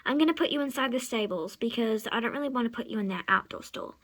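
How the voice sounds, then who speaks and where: Fond horse owner, acted by a woman: sweet, doting, everyday delivery, high and bright. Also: fast talker. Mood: fond, soothing. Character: affectionate female horse owner cooing to her pony.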